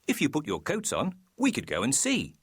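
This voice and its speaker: A woman's voice: deep voice, wildly swooping pitch, moderate pace, husky, dry recording, clean studio sound, voice pushed up, exaggerated voice. A woman voicing a kindly father pig in a children's storybook, explaining things in a patient, reassuring manner. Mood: patient, encouraging. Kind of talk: children's bedtime story character voice.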